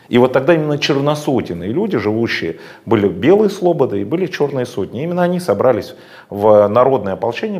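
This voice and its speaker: A man speaking in a fairly low voice, answering at length in a political interview. He lectures emphatically, stressing points and filling pauses with hesitation sounds. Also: a little mellow. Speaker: interview guest.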